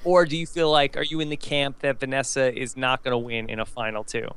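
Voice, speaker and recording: A man's voice: mid-range voice, flat delivery, a little fast, a bit bright, clear, resonant tone. A man hosting a reality TV recap show in a conversational way. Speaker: podcast host.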